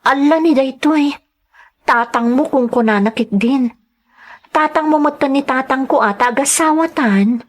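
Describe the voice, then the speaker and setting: A woman's voice: high voice, unhurried. An actress playing a devoted, sentimental young wife and mother in an audio drama, speaking earnestly and emotively. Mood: concerned, pleading. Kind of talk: Ilocano audio drama, narration and dialogue.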